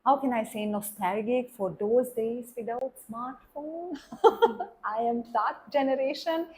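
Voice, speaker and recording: A woman's voice: a little high, unhurried, darkish tone, low-quality recording. A middle-aged woman from Hungary with a Hungarian accent, a German teacher chatting as a podcast guest, laughing often and phrasing things in a slightly non-native way. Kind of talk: casual interview chat. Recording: faint background noise.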